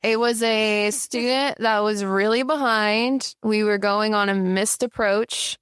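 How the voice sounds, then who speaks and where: A woman co-hosting a podcast in casual chat, an outspoken flight instructor who talks with animated emphasis. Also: mid-range voice, slow, smooth, dry recording, studio quality.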